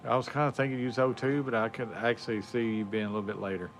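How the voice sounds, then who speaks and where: A man's voice: mid-range voice, level tone, fast, soft background music. A man, chatting casually on a livestream in a rambling, laid-back way with lots of fillers. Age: 40s. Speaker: livestream host. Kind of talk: casual chat.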